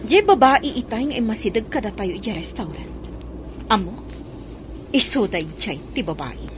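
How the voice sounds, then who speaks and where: Woman playing a bitter, animated wife. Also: mid-range voice, moderate pace, noisy background, natural voice. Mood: bitter, jealous. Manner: reproachful, sarcastic. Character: bitter, jealous wife and mother of two. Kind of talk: audio drama dialogue.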